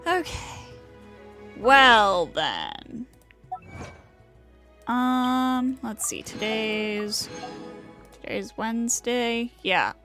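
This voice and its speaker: A woman hosting a casual game livestream, with a bright, fairly high voice. She talks at an unhurried pace and reacts out loud to the game, while music plays faintly behind her. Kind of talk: casual livestream gaming chat.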